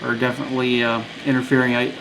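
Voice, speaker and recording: A man's voice: medium pitch, completely flat delivery, quick pace, vocal fry, background noise. A man giving a casual, unscripted gadget review, rambling a little and thinking aloud as he goes.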